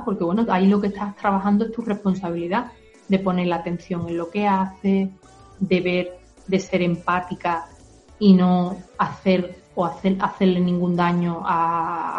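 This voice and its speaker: An adult woman calmly explaining ideas to a child and reassuring her, using simple comparisons. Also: lowish voice, normal speed, highs cut off, soft background music. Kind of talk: gentle explanatory talk to a child.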